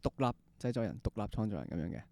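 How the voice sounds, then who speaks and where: A man's voice: on the low side, sing-song, racing, mellow, no room sound. A man with a Hong Kong accent hosting a conversational interview podcast. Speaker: podcast host.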